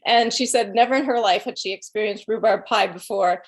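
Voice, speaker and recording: A woman's voice: medium pitch, fairly quick. A middle-aged woman, a guest speaker telling rambling, anecdotal stories and chuckling between phrases. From Illinois, USA. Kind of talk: conversational storytelling.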